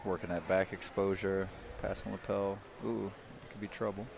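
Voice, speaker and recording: A man in his late thirties talking in a relaxed, modest way as a guest commentator beside the mat. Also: deep voice, moderate pace, creaky, noisy background. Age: 30s. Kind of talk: casual mat-side commentary. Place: grappling tournament mat-side.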